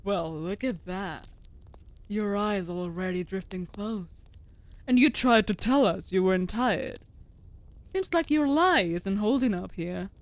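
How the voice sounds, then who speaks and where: A stoic but caring female wolf familiar played by a woman from a fantasy audio roleplay. She coaxes softly and trades dry, teasing remarks in a mid-pitched voice. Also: slow pace. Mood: drowsy, tender. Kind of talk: scripted comfort audio roleplay.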